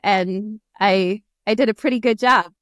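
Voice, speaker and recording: A woman's voice: medium pitch, measured pace, clear, resonant tone, no echo, studio quality. An American woman in her thirties, a guest chatting casually on a video call through an earphone mic, laughing often and using many fillers. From United States. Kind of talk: casual interview chat. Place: small room indoors. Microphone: earphone headset on video call.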